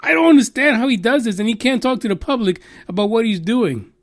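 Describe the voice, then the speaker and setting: High-voiced ranting podcast host. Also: male voice, expressive, fast, dry recording, clean studio sound. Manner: exasperated, profane, rambling. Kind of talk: casual solo podcast rant.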